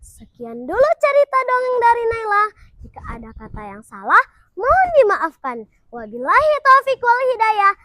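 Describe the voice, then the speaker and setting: A woman's voice: very high voice, wildly swooping pitch, moderate pace, sharp tone, dry recording, clean studio sound. A primary school girl telling a folktale in a dramatic, declamatory style. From Indonesia. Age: child, primary school pupil. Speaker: student storyteller. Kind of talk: theatrical folktale storytelling.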